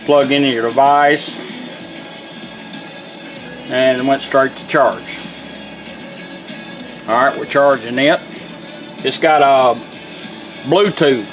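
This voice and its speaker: A man giving an informal, folksy, step-by-step product demonstration in a plain-spoken way. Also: on the high side. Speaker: vintage car radio restorer demonstrating a product. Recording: music from the demonstrated radio behind.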